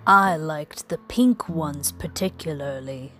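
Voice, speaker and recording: Woman voicing a slow, menacing storybook beast. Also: sits low, fairly bright tone, faint music behind, pitched below the performer's own voice, heavily acted. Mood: hungry, menacing. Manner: slow, deliberate, drawn-out speech. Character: huge menacing man-eating beast with a sweet tooth. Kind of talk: children's storybook character voice.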